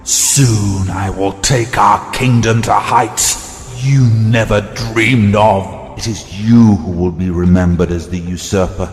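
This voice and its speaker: An actor playing a bitter, arrogant vampire prince, haughty and grandiose, in an audio drama. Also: male voice, on the low side, fairly even intonation, takes it slowly. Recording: long cavernous reverb, faint music.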